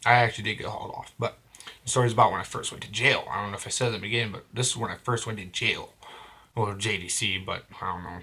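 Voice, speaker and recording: A young man with a bright voice roughened by a cold talks straight to camera. He tells a confessional story in a halting, rambling way, often stopping mid-phrase to correct himself. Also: fairly low pitch, fairly even intonation. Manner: rambling, halting, frequent restarts and self-corrections. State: hoarse from a cold. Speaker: vlogger. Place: at home.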